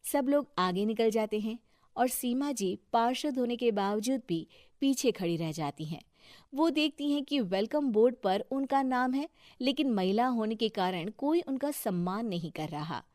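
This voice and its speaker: A woman's voice: fairly high pitch, animated, measured pace, a little mellow, no echo, clean studio sound. A woman narrating a scripted moral story for a cartoon video.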